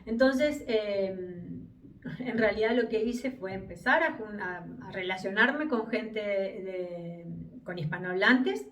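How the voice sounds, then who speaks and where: A woman's voice: mid-range voice, animated, takes it slowly, reverberant, distant mic. A woman in her fifties with an Argentine Spanish accent, giving advice to camera in a reflective vlog, with frequent hesitations and restarts. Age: 50s. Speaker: vlogger. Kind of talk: casual advice monologue to camera.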